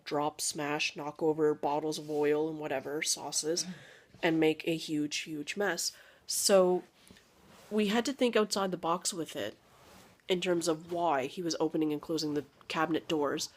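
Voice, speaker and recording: A young woman, not a native English speaker, chatting casually to camera as a parenting vlogger, rambling with fillers and asides. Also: sits low.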